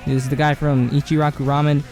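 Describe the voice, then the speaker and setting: A man giving a casual anime commentary, rambling and slangy with lots of filler words, his voice hoarse and cracking. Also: a little high, little pitch movement, fast talker, darkish tone, faint music behind. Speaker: video essay host.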